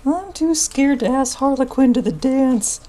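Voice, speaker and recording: A woman voicing anxious, excitable puppet characters with exaggerated melodrama in little skits. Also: high voice, measured pace, pitched above the performer's own voice. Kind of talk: puppet show dialogue.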